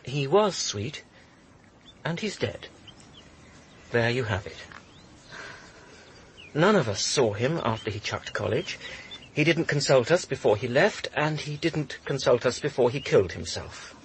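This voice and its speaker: A man's voice: medium pitch, very animated, measured pace, long pauses, slight background noise. A man with a British accent playing a flippant, supercilious young student in a radio drama, arch and witty. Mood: guarded. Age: young adult.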